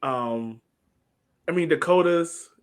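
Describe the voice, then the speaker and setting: A man's voice: a little high, takes it slowly. Casual man talking soccer, thinking aloud. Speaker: sports podcast co-host. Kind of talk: casual soccer match breakdown.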